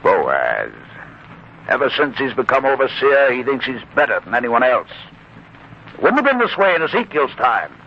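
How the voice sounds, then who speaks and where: An actor playing a proud, loyal, warm-hearted blacksmith father in a theatrical 1940s radio drama style. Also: medium pitch, huge pitch swings, moderate pace. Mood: proud, firm. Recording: muffled, noisy 1940s radio recording.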